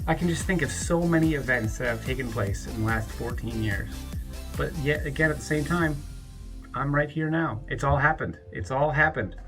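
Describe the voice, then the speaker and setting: A man in his thirties talking alone to camera in a rambling, halting way, often restarting his sentences and sometimes breaking into profane ranting. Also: mid-range voice, music in the background. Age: 30s. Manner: rises into an angry, profane rant. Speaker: YouTuber. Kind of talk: reflective birthday vlog monologue.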